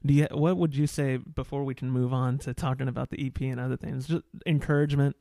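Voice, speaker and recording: Creaky-voiced male podcast host, casual. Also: medium pitch, fairly even intonation, quick pace, warm tone, dry recording, clean studio sound. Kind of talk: casual, supportive interview chat.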